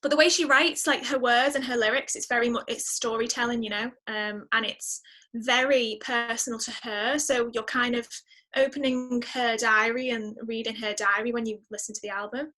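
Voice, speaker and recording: A woman's voice: a little high, steady tone, normal speed, sharp tone, poor audio. A woman talking casually as an interview guest, reflective and enthusiastic about music. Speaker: guest singer-songwriter.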